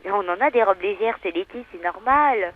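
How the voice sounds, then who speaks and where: A woman's voice: medium pitch, animated, fairly quick. A woman shop assistant on a telephone call, courteous and matter-of-fact in a customer-service manner. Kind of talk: polite customer-service phone talk.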